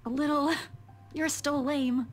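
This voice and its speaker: A woman voicing a sullen, feisty young woman in a visual novel game, exasperated and sharp-tongued but with some fondness. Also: high-pitched, steady tone, measured pace. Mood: grudgingly amused. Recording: game dialogue over background music. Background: music.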